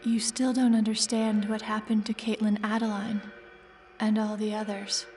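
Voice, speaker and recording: A woman playing a calm, polite synthetic android in a sci-fi audio drama, formal and measured. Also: mid-range voice, distant mic, a little hiss or hum, natural voice. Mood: calm, guarded. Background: music.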